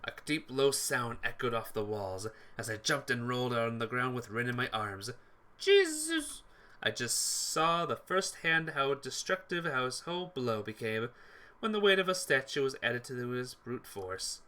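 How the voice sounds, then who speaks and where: A man's voice: medium pitch, lively intonation, moderate pace, pushed and bright. A man hosting a game playthrough, reading visual novel narration aloud dramatically and stumbling over some words. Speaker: gaming playthrough host. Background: game music.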